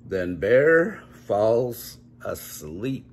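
An older man reading a children's story aloud at home in measured, drawn-out storybook phrasing. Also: on the low side, sing-song. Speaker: grandpa reading a picture book aloud. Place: small home room, indoors.

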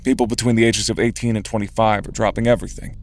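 A man's voice: lowish voice, flat delivery, fast talker, faint music behind, natural voice. A man playing an eager, businesslike investigative journalist in scripted audio drama dialogue. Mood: businesslike, keen.

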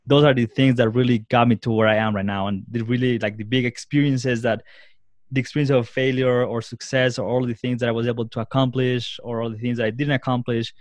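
A man's voice: medium pitch, steady tone, a little fast, no room sound. A young man hosting a casual interview, with hesitant, rambling phrasing. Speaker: podcast host.

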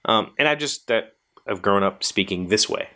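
Male host, forties, American, lowish voice. Casual, rambling, self-deprecating chat straight to viewers. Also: expressive, normal speed, pushed and bright. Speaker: host of a daily video show. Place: small indoor workshop crowded with tools.

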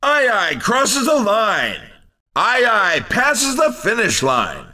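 A man voicing an upbeat, excitable racing video game announcer with a punchy, showy, cheerful delivery. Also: high-pitched, slow, far from the mic, exaggerated voice. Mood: excited. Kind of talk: video game announcing, race commentary and shop greetings.

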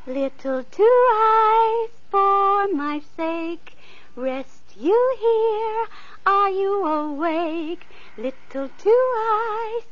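A woman playing a gentle, kind young girl in a vintage radio play, speaking sweetly and coaxingly. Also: very high-pitched, full character voice. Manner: sing-song, sweet and coaxing. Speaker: radio actress. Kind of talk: 1940s radio acting. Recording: muffled old radio recording.

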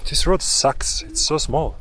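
A man's voice: fairly high pitch, unhurried, fairly bright tone, slight background noise. A young man with a Croatian accent, vlogging while hiking outdoors, teasing and crude in casual chat. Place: outdoors on hilly grassland and woods. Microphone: handheld camera mic.

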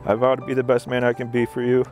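A young man reads wedding vows in an earnest, very flat voice that hardly moves in pitch. Music plays clearly underneath him as he speaks. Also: quick pace. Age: young adult. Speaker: groom. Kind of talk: reading written wedding vows. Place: outdoor wedding ceremony.

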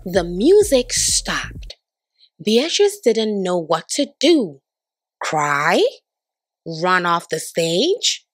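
A woman giving an expressive children's storybook read-aloud, putting on voices for the characters. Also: on the low side, very slow, no echo, studio quality, soft background music. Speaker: storybook reader.

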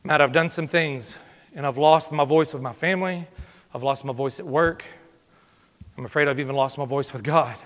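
Preacher guy, high ringing voice, big church echo, gets loud then drops to a hush. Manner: fervent preaching, swings from loud exhortation to hushed confession. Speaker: lead pastor. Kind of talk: impassioned sermon. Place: church sanctuary. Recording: long hall reverb from a church sanctuary.

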